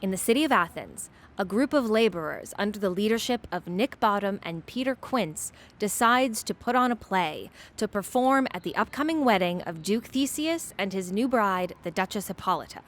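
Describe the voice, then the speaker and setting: A woman's voice: medium pitch, lively intonation, normal speed, hardly any pauses. A woman reading scripted narration to introduce a story, as the narrator of an audio drama.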